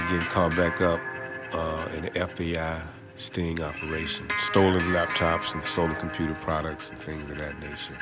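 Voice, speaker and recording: Man from Alabama, low voice, personal interview storytelling, music behind. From Birmingham, Alabama. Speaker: poet and professor, former prisoner. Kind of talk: autobiographical interview talk.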